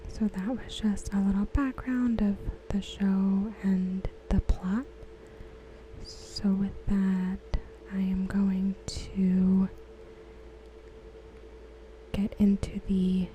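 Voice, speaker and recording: A woman speaks softly in an ASMR style, reading in a breathy near-whisper with a very dark, relaxed tone. Her delivery is slow, gentle and soothing, close to the mic. A faint background noise sits behind her voice. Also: medium pitch, rough recording. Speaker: ASMR host. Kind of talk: soft-spoken ASMR reading.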